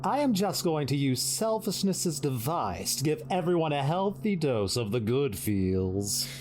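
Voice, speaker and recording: A woman's voice: low-pitched, sing-song, measured pace, darkish tone, slight background noise. A woman playing a vain, flippant spirit of pleasure in an audio drama, with a smug theatrical drawl. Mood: smug, dismissive.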